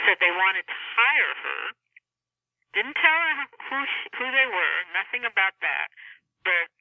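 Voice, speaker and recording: A woman speaks as an author in a relaxed interview format, explaining things and pausing mid-sentence. Her voice comes through a narrow, tinny phone line with very little room sound. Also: medium pitch, no room sound. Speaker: author being interviewed about a novel. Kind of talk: conversational interview. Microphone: telephone.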